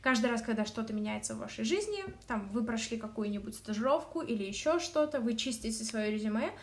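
A young woman talks through advice on a casual podcast. Her voice sits fairly high. She speaks in a lively, animated way, with fillers and small laughs along the way. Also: fast. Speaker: podcast co-host.

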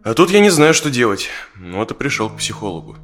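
An actor playing a conflicted married dentist of forty in an audio drama, confiding hesitantly in natural, conversational speech. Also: male voice, medium pitch, sing-song, fast, fairly bright tone. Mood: uneasy, earnest. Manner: hesitant, confessional. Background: plucked guitar music.

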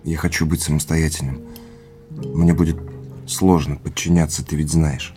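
Casting: subdued businessman under strain, deep voice, hesitant, independent streak; audio drama with music underneath. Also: little pitch movement, moderate pace, warm tone. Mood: earnest, stubborn. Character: troubled businessman husband, subdued, stubbornly independent. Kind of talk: naturalistic audio drama dialogue.

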